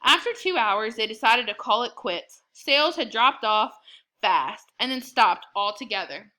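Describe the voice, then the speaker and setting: A woman narrating a children's book aloud in an expressive storytelling style. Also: on the high side, a little slow.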